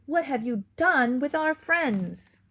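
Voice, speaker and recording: A woman's voice: a little high, animated, a little slow, pitched above the performer's own voice. A woman voicing a plucky, grumpy female dog who marches ahead boldly. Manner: gruff and bold.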